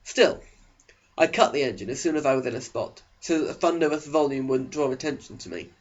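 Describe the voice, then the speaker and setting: A man's voice: medium pitch, a little fast, dull audio. A man narrating a mock audiobook with a sarcastic, exaggerated delivery.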